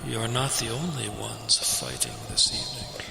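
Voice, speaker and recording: A man playing the cold as a lonely, coaxing male spirit in a horror audio drama, measured and insinuating, in a noisy, echoing recording. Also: lowish voice, big pitch swings, gravelly, bright, forceful tone, full character voice.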